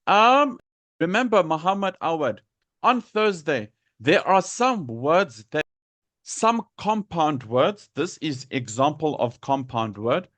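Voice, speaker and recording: A South African man teaching English in a live lesson, deliberately repeating and enunciating words for learners. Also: fairly high pitch, expressive, a bit bright, no echo. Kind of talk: live lesson explaining pronunciation to students.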